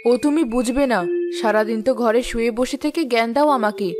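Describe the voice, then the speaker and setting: A woman's voice: on the high side, brisk, music in the background, close to an everyday voice. A woman playing a nagging, sarcastic village housewife in a cartoon. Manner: sarcastic, argumentative. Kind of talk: cartoon voice acting.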